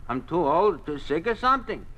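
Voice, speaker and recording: Bright, high-pitched kvetching old man. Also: sing-song, brisk, heavily acted, rough recording. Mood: grumbling, willing. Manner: kvetching, Yiddish-style phrasing. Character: complaining but willing old man. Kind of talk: 1950s radio drama acting. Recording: vintage 1950s radio recording.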